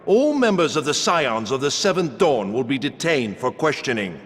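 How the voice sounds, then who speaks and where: A man's voice: medium pitch, sing-song, normal speed, slightly rough. A man playing a coldly mocking, treacherous guard captain in a video game, formal and grave. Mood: stern, proclaiming. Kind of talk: video game cutscene acting. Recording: long reverb with music behind.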